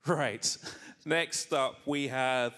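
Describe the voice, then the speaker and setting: A man hosting a live conference event, loud and playful, repeating his lines before introducing the next speaker. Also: mid-range voice, drawn-out words. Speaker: event host. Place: conference hall with audience. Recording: hall reverb, audience noise.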